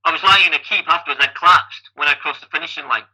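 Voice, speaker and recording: Bright-voiced Scottish man telling stories. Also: fairly high pitch, quick pace, rough recording. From Scotland. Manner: chatty, repeats words when starting sentences. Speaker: guest athlete and coach. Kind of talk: casual interview storytelling.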